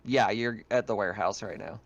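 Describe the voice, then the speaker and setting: A man's voice: lowish voice, a little fast, vocal fry, no room sound, dull audio. A man narrating a tabletop roleplaying game as game master, explaining scenes in a conversational way.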